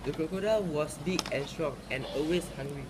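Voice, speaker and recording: A man's voice: fairly high pitch, brisk. A man reading a folk tale aloud. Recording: clearly noisy recording with ambient sound.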